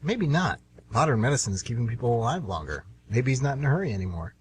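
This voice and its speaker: Man playing a lively, wry train passenger. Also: medium pitch, fast talker, lightly acted. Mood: wry, musing. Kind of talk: amateur radio play dialogue. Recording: faint noise and hum.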